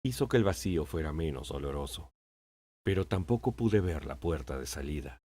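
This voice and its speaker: A man playing a wise, patient old king in an audiobook, speaking in a measured, sententious way. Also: extremely low pitch, lively intonation, mellow, slightly rough, exaggerated voice. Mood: patient, explaining. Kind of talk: audiobook character acting.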